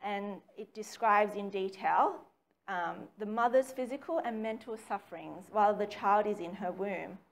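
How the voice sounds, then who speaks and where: A young woman reading a prepared talk in a measured way, recorded by a camera across a small meeting room. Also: mid-range voice. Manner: measured, reads from notes with occasional ums. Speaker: speaker giving a dharma talk. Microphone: far camera, no mic seen.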